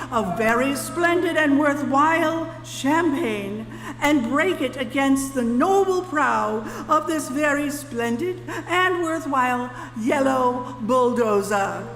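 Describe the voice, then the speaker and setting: A self-important, condescending lady dignitary played by a woman on stage declaims a ceremonial speech very deliberately. It is live radio-play acting in a reverberant hall, with music behind. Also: sits high, full character voice. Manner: pompous, declamatory.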